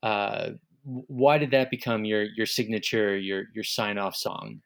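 A man's voice: lowish voice, steady tone, measured pace, gravelly. A man conducting a conversational interview, feeling his way through questions and rephrasing them as he goes. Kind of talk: conversational oral history interviewing.

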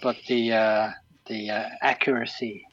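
A man's voice: fairly low pitch, flat delivery, unhurried, fairly bright tone, slightly rough, no echo, lo-fi sound. A man in his sixties with an Irish accent, an interview guest talking casually with many ums and restarts. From Ireland. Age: 60s. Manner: hesitant, lots of ums and restarts.

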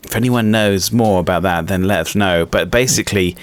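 A man hosting a podcast in casual chat, hesitant and quick to laugh. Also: low-pitched, no room sound. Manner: giggly, hesitant, laughs often. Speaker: podcast host.

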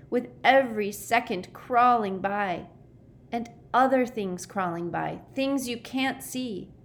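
A woman's voice: fairly low pitch, slight background noise, close to an everyday voice. A woman voicing a frightened, anxious boy in a storybook, shaky, pleading and emotional. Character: frightened, despairing, anxious boy on the verge of breaking down.

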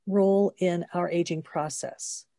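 A woman in her sixties talks through her thoughts in a relaxed, unhurried chat. Her voice sits fairly low and the sound is dry and close, with no room behind her. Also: no echo. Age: 60s. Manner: thoughtful, explains at length, laughs easily. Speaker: co-host. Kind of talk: casual chat.

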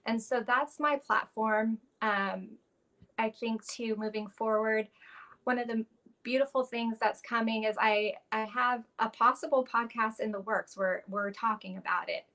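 Interview guest, woman, bright and clear voice, heartfelt, stop-start phrasing. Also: a little high, steady tone, measured pace. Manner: earnest, heartfelt, with frequent restarts and fillers. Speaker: actress guest. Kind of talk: casual interview talk.

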